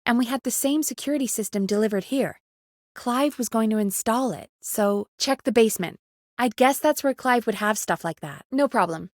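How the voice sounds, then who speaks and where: An actress playing a friendly, teasing woman who produces a small-town radio show in a video game, talking in an earnest, expressive, chatty way. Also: on the high side, moderate pace, no echo, studio quality, close to an everyday voice. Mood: businesslike. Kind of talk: video game voice acting, conversational.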